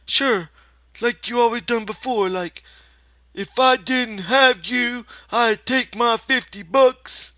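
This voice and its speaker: A man voicing a big, childlike, simple-minded man who is pleading and excitable, whiny, with a rural American accent. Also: very high-pitched, slow, no echo, voice pushed up, heavily acted. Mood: anxious pleading.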